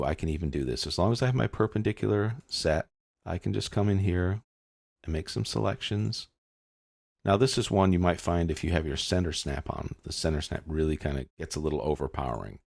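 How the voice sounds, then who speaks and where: A man narrating a step-by-step software tutorial as an instructor, explaining in an informal way. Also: very deep voice, a little fast, vocal fry, no room sound, clean studio sound.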